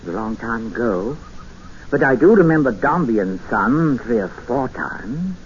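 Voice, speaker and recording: An actor playing a courtly, evasive old recluse, genial yet quietly menacing, in a 1950s radio drama. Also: male voice, mid-range voice, expressive, natural voice. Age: older. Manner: courtly, evasive, placid, drawn-out. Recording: noisy, dull vintage radio recording.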